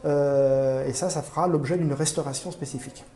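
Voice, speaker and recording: A man giving formal, measured explanations in an interview as a museum curator, often pausing mid-phrase as he searches for words. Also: medium pitch, steady tone, dark tone. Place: indoor room in a museum. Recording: roomy, echoing indoor sound.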